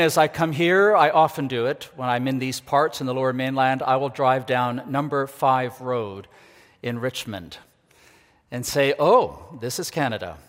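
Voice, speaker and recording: Older man preaching in a reverberant hall. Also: mid-range voice. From Ecuador. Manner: earnest, measured, reflective. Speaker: guest preacher, university leader. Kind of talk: sermon. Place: church sanctuary. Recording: long reverb of a large hall.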